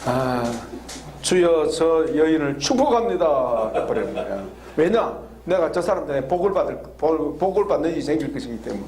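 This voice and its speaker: A man preaching a lively sermon, laughing often and drawing call-and-response answers from the congregation with frequent questions. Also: fairly high pitch, moderate pace. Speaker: pastor. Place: church hall with congregation.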